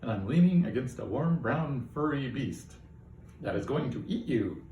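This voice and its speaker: A man voicing a gloomy male AI companion that flatly lists every way his owner will die. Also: mid-range voice, moderate pace, roomy sound, slight background noise, close to an everyday voice, poor audio. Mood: morbid. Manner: flat, relentless listing of deaths.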